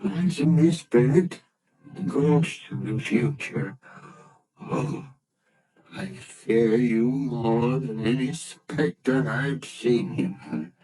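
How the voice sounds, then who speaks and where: An actor playing a frightened old miser who pleads with a ghost in trembling, often whispered lines, in old-time radio drama style. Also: male voice, mid-range voice, expressive, extremely slow, mellow, low-quality recording. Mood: fearful pleading. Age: older. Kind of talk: 1940s radio acting.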